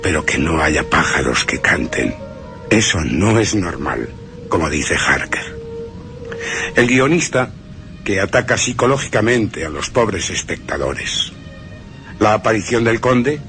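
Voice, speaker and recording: A man's voice: on the low side, fairly bright tone. A man with a Castilian Spanish accent giving scripted film commentary as a radio presenter. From Spain.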